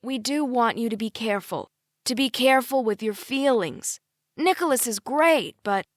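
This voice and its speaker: Woman as concerned mother, gently protesting, careful, placating, audiobook dialogue, dry sound. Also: mid-range voice, moderate pace, no room sound, lightly acted.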